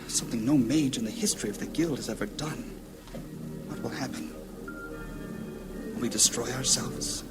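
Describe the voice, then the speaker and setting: A man acts out a solemn, troubled leader of mages in a fantasy audio drama. He speaks formally and theatrically in a medium-pitched male voice, with prominent music underneath. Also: natural voice. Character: grave, troubled gray-robed mage leader, male.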